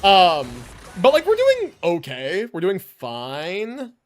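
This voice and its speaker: High-pitched, animated male game streamer. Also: slow pace. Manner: slangy, swearing, thinks out loud. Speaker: game livestreamer. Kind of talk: casual gaming stream commentary.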